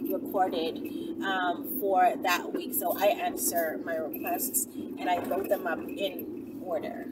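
A woman in her thirties telling stories casually and animatedly to viewers, in an informal way, as a video host. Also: mid-range voice. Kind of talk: casual storytelling chat to viewers. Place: inside a small car, driving. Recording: noisy car interior, distant microphone. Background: loud vehicle and road noise.

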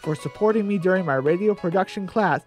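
A man's voice: sits high, fast, soft background music. A man narrating a scripted radio play as its storyteller.

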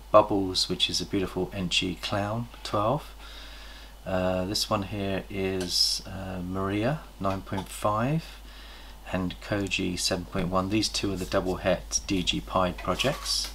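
Guy, middle-aged, deep and a bit gravelly, chatty about his snakes, light hiss behind. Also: fairly bright tone. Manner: chatty, enthusiastic, pauses to recall details. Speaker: snake breeder host. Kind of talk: casual show-and-tell vlog. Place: indoor reptile room lined with tubs. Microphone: camera across a table.

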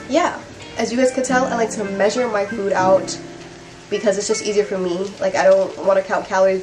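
A young woman talks straight into her phone camera in a chatty, slangy vlog style. She sits at a mid pitch, with music playing clearly under her voice. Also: rough recording. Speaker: vlogger. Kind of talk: casual vlog chat. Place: grocery store, then home kitchen. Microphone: handheld phone camera at arm's length.

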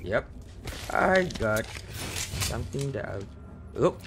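A man, chatting excitedly with friends while streaming a game, repeating himself and teasing. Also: medium pitch, slow, very noisy. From Malaysia. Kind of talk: casual gaming chat. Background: game music.

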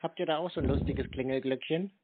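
A man's voice: mid-range voice, fast talker. A man chatting casually on a call, needling the other person in a laconic, unruffled way. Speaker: scambaiter. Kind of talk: casual argumentative phone chat. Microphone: phone call recording. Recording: narrowband phone sound with noise, clicks.

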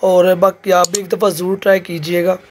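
A man's voice: high voice, little pitch movement, fairly quick, background noise. A man, chatting casually for a vlog on a phone outdoors. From Pakistan. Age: 20s. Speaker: vlogger. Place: outdoors on city streets at night. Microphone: phone at arm's length. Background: music.

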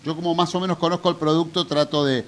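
A man's voice: fairly high pitch, fairly even intonation, fast. Older Argentine male host, casual, chatty questioner; faint room noise on a studio mic. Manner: interjecting, colloquial, restarts questions. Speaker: radio host. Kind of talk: interview questions. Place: radio studio. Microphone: studio boom mic with headphones.

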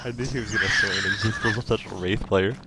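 A man playing a game with a friend and chatting in a relaxed, offhand, teasing way. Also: on the low side, quick pace, heavy background noise. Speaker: co-player. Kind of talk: casual gaming chat.

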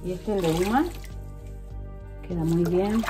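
A woman's voice: lowish voice, unhurried. Woman vlogger, 40s, chatty home demo, phone mic, music under her voice. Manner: chatty, addresses viewers directly, working while talking. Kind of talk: casual how-to demonstration. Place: home kitchen, indoors. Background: background music.

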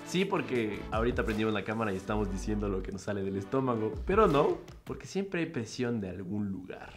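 A man with an Ecuadorian accent, giving short, dry, deadpan quips in a casual chat. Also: lowish voice, a little fast. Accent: Ecuadorian Spanish. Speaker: YouTuber co-host. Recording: faint background music.

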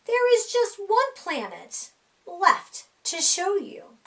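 A middle-aged woman reading a rhyming children's picture book aloud in an animated, sing-song, deliberate way for young kids. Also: very high voice. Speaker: library storytime reader. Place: small indoor room.

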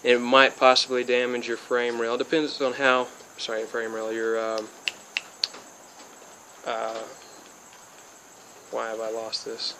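A man in his twenties talks through a backyard build with an even, flat delivery at a middle pitch. He loses his thread often, filling gaps with "uh" and correcting himself. Faint crickets and insects hum behind the close camera mic. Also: takes it slowly, gravelly, long pauses. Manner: rambling, many fillers and restarts, flat delivery. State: a bit sick and spacey. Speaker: DIY hobbyist. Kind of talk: casual how-to explanation. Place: outdoors by a car, then under a metal-roofed shed.

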